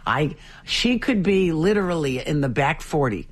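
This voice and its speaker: A man's voice: big pitch swings, normal speed. A man hosting a morning radio show, chatting casually and telling stories with chuckling asides. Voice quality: deep, slightly husky voice.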